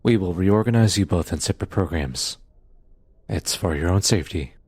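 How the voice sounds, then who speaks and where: A man playing a firm, matter-of-fact police detective in an audio roleplay drama, with a measured, official manner and a husky voice. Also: deep voice, darkish tone, no room sound. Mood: firm, regretful.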